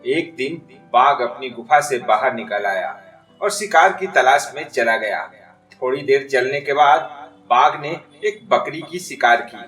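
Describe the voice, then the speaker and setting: A man's voice: medium pitch, expressive, measured pace, a bit bright. A man narrating a scripted moral story for children as a storyteller. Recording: distant, echoey, music behind.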